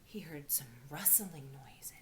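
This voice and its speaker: Expressive woman reading a children's storybook. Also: deep voice, takes it slowly. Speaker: teacher reading aloud to children. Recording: faint background noise.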